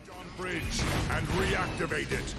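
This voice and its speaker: An actor playing a tyrannical, power-hungry robot warlord in a video game, imperious and menacing. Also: male voice, a little high, takes it slowly, creaky, loud music behind the voice. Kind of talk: video game cutscene acting.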